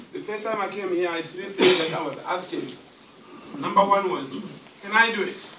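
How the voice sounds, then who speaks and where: Man, high bright voice, jovial testimony, echoey room, distant mic. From Zimbabwe. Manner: jovial, jokes with the crowd. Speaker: guest speaker giving a testimony. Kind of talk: motivational testimony to an audience. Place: meeting room in a hotel. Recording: echoey hall, distant mic, faint noise.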